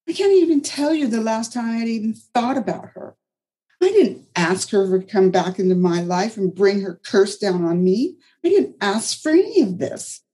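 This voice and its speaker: A woman's voice: a little high, mellow, voice pushed up, poor audio. A woman voicing a distraught young mother who is bitter and defensive.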